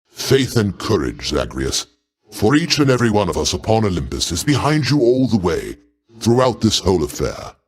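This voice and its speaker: A man's voice: on the low side, very animated, moderate pace, husky, heavily acted. A man voicing a mighty older god king for a video game, a genial, doting uncle with grand, courtly old-fashioned diction. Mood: hearty, encouraging. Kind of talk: theatrical video game voice acting.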